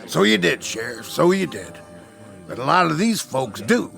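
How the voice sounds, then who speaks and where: A fast-talking frontier huckster with a bright, high voice that swoops through folksy American sales patter. He is theatrical and wily, as in a western radio play. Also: sing-song, gravelly, faint music behind, exaggerated voice. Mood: oily, ingratiating. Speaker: actor in a radio drama. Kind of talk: theatrical radio drama acting.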